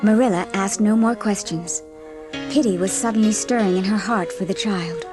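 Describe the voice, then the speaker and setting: A woman's voice: mid-range voice, normal speed, music in the background, lightly acted. A woman giving scripted narration for a dramatised audiobook.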